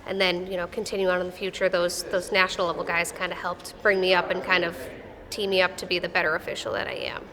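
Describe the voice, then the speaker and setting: A woman's voice: on the low side, little pitch movement, fast. Brief: woman, thirties, Midwestern accent with a touch of Texas drawl, friendly and matter-of-fact, interviewed in an echoey arena. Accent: Midwestern American with a slight Texas drawl. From Wisconsin, USA. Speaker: wrestling official. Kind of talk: casual interview answers. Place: wrestling tournament arena. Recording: echoey arena with crowd noise.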